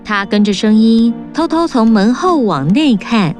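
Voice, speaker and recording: A woman narrating a children's fairy-tale cartoon in a clear storybook manner. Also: on the high side, lively intonation, unhurried, darkish tone. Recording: narration over prominent music.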